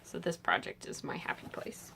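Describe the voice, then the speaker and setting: A woman in her thirties, a little hoarse from a cold, chatting enthusiastically about her knitting projects in a bedroom, with many filler sounds. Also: normal speed, in a whisper. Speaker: knitting podcast host. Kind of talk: casual show-and-tell chat. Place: bedroom with pillows, indoors.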